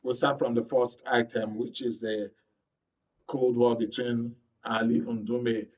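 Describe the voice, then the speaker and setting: A man's voice: medium pitch, flat delivery, slow pace, darkish tone, recorded from a distance, dull audio. A man with a Nigerian accent hosting a live current affairs programme on radio.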